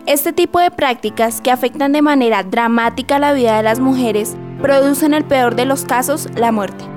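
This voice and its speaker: A woman's voice: sits high, moderate pace. A woman reading scripted informational narration as a radio narrator. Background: music.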